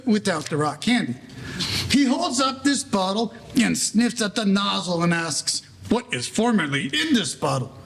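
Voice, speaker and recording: A man narrating a scripted story as the storyteller of a 1940s-style radio play. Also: high voice.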